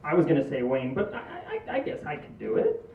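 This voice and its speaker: A reader's fast-talking bumbling lawyer. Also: medium pitch, big pitch swings, creaky. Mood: flustered. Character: needy, bumbling male family attorney. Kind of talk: casual comic table read. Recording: roomy, distant, phone-like sound.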